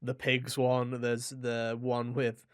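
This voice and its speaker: A man chats as co-host of a game review podcast, in a mid-pitched voice. He is casual and enthusiastic, rambling along in an animated way. The sound is dry, with hardly any room on it. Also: slow, clean tone, dry recording.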